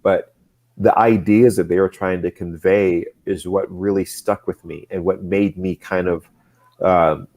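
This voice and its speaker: Very deep-voiced man rambling thoughtfully. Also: a little slow. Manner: thoughtful and rambling, many restarts, speeds up when excited. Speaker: guest. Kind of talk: casual livestream chat.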